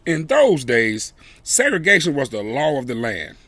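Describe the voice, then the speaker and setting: A man reading a children's story aloud expressively, with deliberate, emphatic phrasing and dramatic pauses. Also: mid-range voice, very animated, a bit bright, no echo. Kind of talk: expressive read-aloud storytelling with brief asides.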